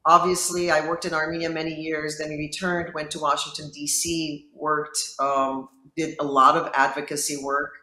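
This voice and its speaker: A woman from Lebanon, in her fifties, speaks with a bright, forceful tone that rings out in an echoey room. She tells her personal story earnestly and reflectively, as a guest in an interview. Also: deep voice, little pitch movement, normal speed, few pauses. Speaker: judge and guest. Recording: roomy, echoey sound.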